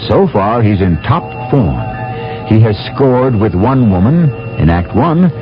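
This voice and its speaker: Lively, deep-voiced radio drama host. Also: male voice, measured pace, highs cut off. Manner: theatrical, rhetorical. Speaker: host narrator. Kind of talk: scripted radio drama narration. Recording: old radio broadcast with music.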